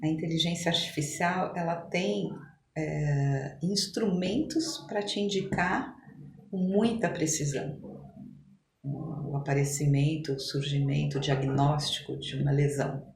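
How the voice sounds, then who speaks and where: A woman with a Brazilian accent explaining things conversationally as an interview guest, in a halting, emphatic way like a medical professional. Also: low voice, vocal fry. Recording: echoey room, faint background noise.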